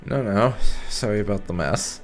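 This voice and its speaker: A man's voice: fairly low pitch, normal speed, soft background music. A man giving roleplay playthrough commentary on a video game, musing with wry understatement. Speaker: gaming channel host.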